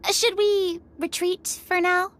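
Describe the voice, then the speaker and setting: A woman's voice: extremely high pitch, flat delivery, slow, fairly bright tone, exaggerated voice. An actress playing a timid, earnest young girl in a video game, hesitant and easily flustered. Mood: puzzled. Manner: hesitant, gasping, swooping delivery. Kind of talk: animated video game acting. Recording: faint music underneath.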